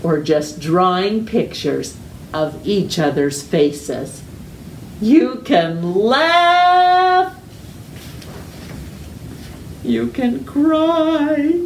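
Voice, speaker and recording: A middle-aged woman reading a children's picture book aloud with deliberate, exaggerated storytime delivery and drawn-out words. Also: on the high side, big pitch swings. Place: living room at home. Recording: roomy echo, distant mic, faint noise.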